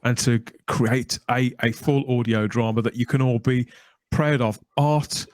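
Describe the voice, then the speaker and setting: A man's voice: mid-range voice, fairly even intonation, measured pace, no echo. A man hosting a podcast, interviewing and presenting in a conversational way. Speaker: podcast host.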